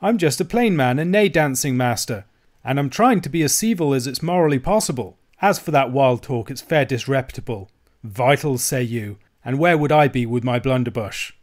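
A man's voice: on the high side, animated, moderate pace, voice pushed up, natural voice. A man voicing a cowardly, self-righteous old Scottish uncle who wails and snarls his protests in an audiobook. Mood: querulous, wary. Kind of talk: audiobook character voice.

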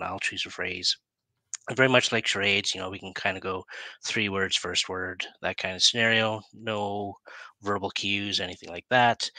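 A man's voice: fairly low pitch, bright, forceful tone, no room sound, low-quality recording. A middle-aged man hosting a casual live-stream interview in a chatty, hesitant way, speaking on a headset mic. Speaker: talk show host. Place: plain room, indoors.

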